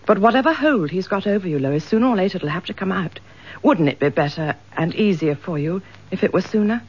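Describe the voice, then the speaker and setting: Husky, motherly English woman. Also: lowish voice, a little mellow. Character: motherly, coaxing detective's wife, gentle but persistent. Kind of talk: British radio detective drama acting. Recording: dull lo-fi radio drama recording.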